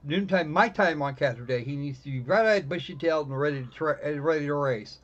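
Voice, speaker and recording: A man hosting a live game stream in casual chat, often halting and restarting his phrases. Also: on the high side, highs cut off.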